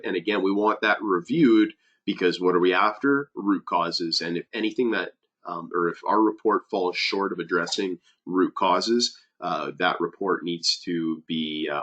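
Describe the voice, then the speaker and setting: A man's voice: sits low, a bit bright. A man who is a safety advisor, giving a webinar presentation with many fillers and restarts, sounding nasal from a cold.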